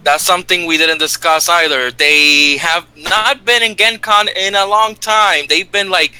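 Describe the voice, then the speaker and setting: A man's voice: high voice, fairly even intonation, measured pace, sharp tone, clear, resonant tone, no echo, rough recording. A man on a gaming podcast dropping brief, wry comments.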